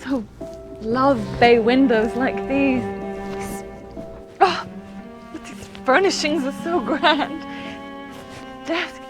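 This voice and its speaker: A woman plays a genteel young lady in a period stage drama. She speaks high and slowly with wide-eyed wonder, music sounding behind her. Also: fairly even intonation. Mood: delighted, impressed. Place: theatre stage, indoors.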